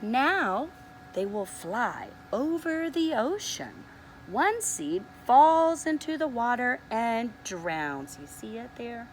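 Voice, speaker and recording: Woman, preschool teacher, high voice, very animated picture-book reading, soft asides to young listeners, faint background noise. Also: rough recording. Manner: expressive, deliberate, often pausing to ask the listener to look. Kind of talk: picture-book read-aloud to young children.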